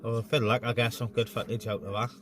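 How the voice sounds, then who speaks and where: A man's voice: medium pitch, flat delivery, rapid-fire, fairly bright tone. A man chatting casually and jokily on CB radio while filming outdoors, in a matey way. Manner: chatty, matey, joking exaggeration. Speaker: vlogger and CB radio hobbyist. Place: outdoors on a busy mountain top. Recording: clear vehicle and outdoor noise.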